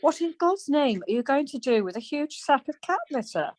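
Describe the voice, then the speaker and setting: A woman playing a brisk, plain-spoken, chatty wife in a radio play, chiding and questioning. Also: high-pitched, big pitch swings, a little mellow. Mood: incredulous.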